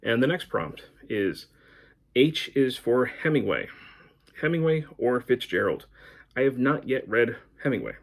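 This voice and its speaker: A man's voice: mid-range voice, very animated, a little slow. A middle-aged American man chatting casually as a book vlogger, in a rambling, conversational way. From United States. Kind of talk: casual chat to camera, answering book prompts.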